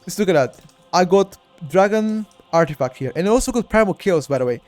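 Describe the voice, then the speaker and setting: A man's voice: sits high, lively intonation, fast talker, darkish tone, dry recording, faint music behind. A man with a Bulgarian accent, talking through a game walkthrough on a live stream and speaking straight to his chat, often repeating himself. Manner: chatty, addresses chat directly, repeats himself.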